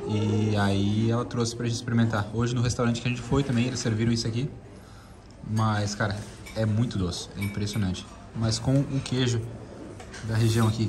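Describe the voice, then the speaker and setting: A man with a southern Brazilian accent chatting informally in a casual food vlog, often repeating himself. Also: mid-range voice. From Santa Catarina, southern Brazil. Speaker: travel food vlogger. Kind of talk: casual vlog chat while tasting food. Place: inside a restaurant. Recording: busy restaurant chatter behind.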